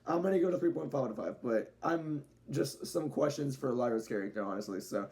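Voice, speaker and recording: Gravelly, chatty young man reviewing. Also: medium pitch, lively intonation, fairly quick, a little mellow. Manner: chatty, rambling, with restarts, laughs and swearing. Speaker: wrestling show reviewer. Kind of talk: casual spoken review to camera. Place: home room with dogs nearby.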